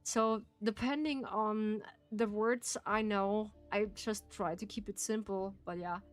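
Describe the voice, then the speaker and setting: A woman's voice: mid-range voice, steady tone, slow pace, smooth, faint music behind. A woman with a German accent, a native German speaker, streaming and reading a game aloud in careful phrasing with frequent laughs.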